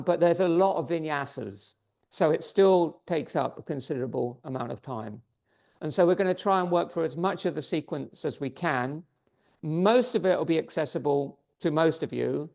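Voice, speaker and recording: A man teaching a yoga class, giving calm step-by-step posture and breathing cues while he is losing his voice. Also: fairly high pitch, moderate pace, creaky. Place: yoga studio.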